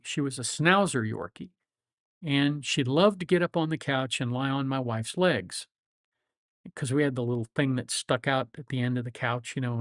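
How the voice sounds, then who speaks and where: A man's voice: mid-range voice, no room sound. Chatty seventy-year-old man, dry sound. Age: 70s. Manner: rambling, reflective, frequent fillers and restarts. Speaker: lawyer and livestream host. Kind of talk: casual livestream chat answering viewers.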